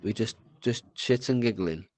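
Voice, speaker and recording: A man's voice: lowish voice, normal speed, darkish tone. Rambling man with a cold. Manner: rambling, swears, stumbles and repeats words. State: has a cold. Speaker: podcast co-host. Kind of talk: casual chat.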